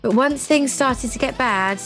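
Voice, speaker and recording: A woman playing a composed, commanding ad agency executive in an audio drama, speaking deliberately. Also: high voice, a bit bright, soft background music. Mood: knowing, grave.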